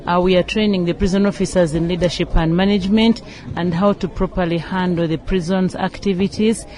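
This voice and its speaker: A woman's voice: on the low side, steady tone, a little fast, a little mellow. Ugandan woman trainer answering formally. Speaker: management trainer and lecturer. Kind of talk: formal radio interview answers.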